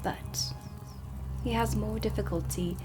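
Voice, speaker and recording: A woman giving a soft-spoken ASMR roleplay lesson as a witch teacher, explaining in a measured way and dropping into whispers. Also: medium pitch, fairly even intonation. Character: stern female dark witch teaching at a magic academy. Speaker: ASMR performer playing a teacher. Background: ambient music.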